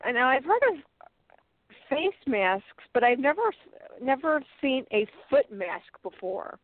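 A woman's voice: high-pitched, sing-song, dry recording. Stuffed-up woman on a tinny line. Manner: chatty, halting restarts, light laughs. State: stuffed up nose. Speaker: co-host reviewing products. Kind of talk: casual unboxing chat, reading package text aloud. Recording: tinny phone-like line, dry.

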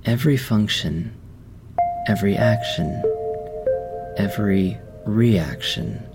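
A man guiding a meditation in a slow, soft, soothing voice with long pauses. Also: fairly low pitch, gravelly. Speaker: meditation guide. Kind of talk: guided meditation. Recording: music underneath.

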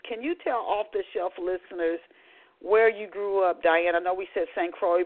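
A woman hosting book talk radio comes through a tinny, phone-like line. She talks in a chatty, animated way and often stumbles into restarts. Her delivery is upbeat and informal. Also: lowish voice. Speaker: radio show host.

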